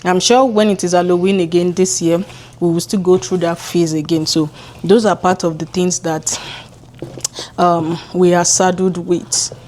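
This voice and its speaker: A woman's voice: lowish voice, flat delivery, normal speed, darkish tone. A woman, talking through a casual vlog in her home kitchen, chatty and encouraging. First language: Yoruba. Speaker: vlogger. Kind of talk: casual vlog talk to camera.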